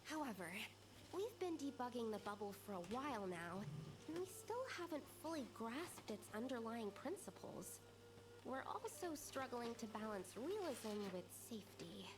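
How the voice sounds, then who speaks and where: A woman's voice: fairly high pitch, sing-song, moderate pace. A woman voicing an earnest young alchemist with a precise, polite manner. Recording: streamed game audio with noise.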